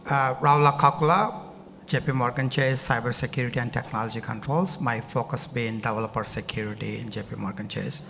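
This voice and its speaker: A man's voice: mid-range voice, fairly even intonation, fairly quick, gravelly, a bit bright, a little hiss or hum. A man panelist introducing his cybersecurity role with enthusiasm. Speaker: panelist, bank security director. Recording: hall reverb with faint ambience.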